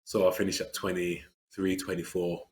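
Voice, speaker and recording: A man's voice: low-pitched, level tone, moderate pace, darkish tone. A man, speaking earnestly as an interview guest, with frequent fillers and restarts. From England. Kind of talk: reflective conversational interview.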